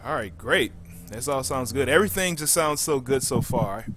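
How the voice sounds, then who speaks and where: A man hosting a casual gaming community chat, talking in an informal, halting way. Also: medium pitch, lively intonation, fairly bright tone, faint music behind. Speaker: podcast host. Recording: clearly noisy background.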